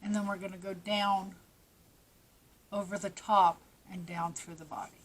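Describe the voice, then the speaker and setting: Craft teacher, woman with a stuffy nose and a strained voice, practical and unhurried, with faint noise behind her. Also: medium pitch, flat delivery. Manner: plain, practical instructions with small restarts. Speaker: crochet teacher. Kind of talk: step-by-step craft tutorial.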